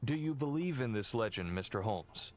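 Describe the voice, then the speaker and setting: An actor playing an earnest, uneasy aristocratic heir in a video game, speaking formally and courteously. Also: male voice, mid-range voice, lively intonation, moderate pace, a little hiss or hum, close to an everyday voice. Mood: grave, earnest. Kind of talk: scripted video game dialogue.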